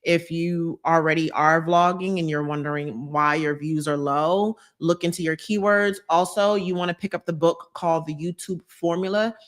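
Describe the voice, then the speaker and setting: A woman's voice: deep voice, steady tone, normal speed, hardly any pauses. A young woman from New Jersey, a YouTube creator, chatting on a live stream and reading comments, emphatic and repeating her advice. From United States, New Jersey.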